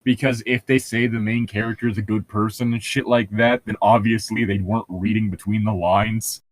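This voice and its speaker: A man with a fairly low voice chats casually as a co-host picking apart a book. His explanations ramble, full of filler "like" and swearing. The sound is dry, with little room to it. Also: level tone, moderate pace, no room sound, low-quality recording. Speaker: podcast co-host reading and critiquing a book. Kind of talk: casual chat, commentary.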